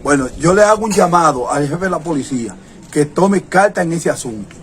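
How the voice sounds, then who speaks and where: A man's voice: on the high side, lively intonation, fairly quick, a little hiss or hum. A man, a mayor speaking firmly and insistently in a news interview. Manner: firm, insistent demands. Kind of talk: interview statement.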